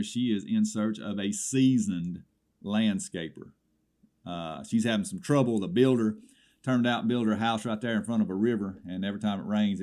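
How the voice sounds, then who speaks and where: A man's voice: fairly low pitch, lightly acted. A man playing an indignant small-town caller with a Southern American accent, rambling through a comedy swap shop report with exasperated asides. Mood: folksy, exasperated.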